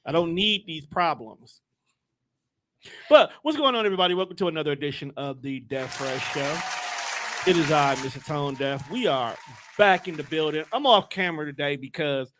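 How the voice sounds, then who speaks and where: A man's voice: fairly high pitch, expressive, normal speed, a crowd in the background, background noise. A young adult man hosting a podcast, chatting casually, joking and laughing often. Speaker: podcast host. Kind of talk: casual comedic chat.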